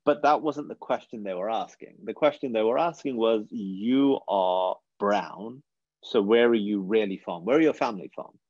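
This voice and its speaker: A man's voice: fairly low pitch, moderate pace, a little mellow, no echo, lo-fi sound. A British man in his thirties, speaking earnestly and reflectively as a guest speaker on a webinar, often restarting his sentences. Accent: British English. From England. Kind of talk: webinar talk.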